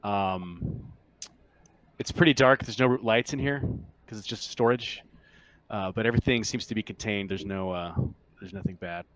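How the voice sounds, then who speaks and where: A man's voice: lowish voice, normal speed, a bit bright, poor audio. A man acting as game master, narrating scenes of a tabletop roleplaying game in a casual, conversational way.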